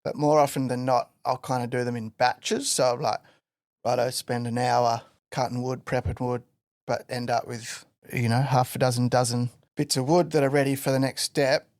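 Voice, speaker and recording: Relaxed, rambling man. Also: mid-range voice, moderate pace, dry recording, clean studio sound. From Western Australia. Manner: relaxed rambling storyteller with many fillers. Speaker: guest artist. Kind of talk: casual podcast interview.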